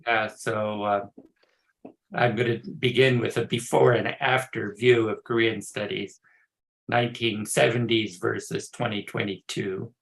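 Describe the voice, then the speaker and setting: An older male professor chatting casually on a video call before his talk, relaxed and quick to laugh. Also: on the low side, gravelly, slight background noise, poor audio. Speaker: professor, guest speaker.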